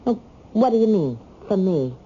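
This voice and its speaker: A slightly muffled vintage radio play. An actress plays a devoted wife with a soft, mellow tone and very lively pitch. She is earnest and soothing, pleading her case. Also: normal speed, lightly acted. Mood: dismissive. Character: devoted, reassuring young wife of an ex-con. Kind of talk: old-time radio drama acting. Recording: dull, lightly noisy old radio recording.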